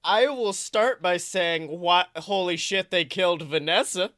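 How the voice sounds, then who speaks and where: A man co-hosting a podcast, chatting casually about films in an animated, rambling way with frequent swearing. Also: sits high, unhurried, no room sound, clean studio sound. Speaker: podcast co-host.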